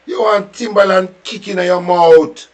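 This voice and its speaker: A man's voice: high voice, steady tone, a little slow. A man with a Jamaican accent delivering a furious, profane, taunting rant as reaction commentary.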